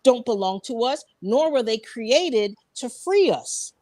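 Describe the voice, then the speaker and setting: A woman's voice: fairly high pitch, lively intonation, measured pace, darkish tone, no echo. Firm, direct woman, dry close sound. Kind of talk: casual panel chat on a live stream.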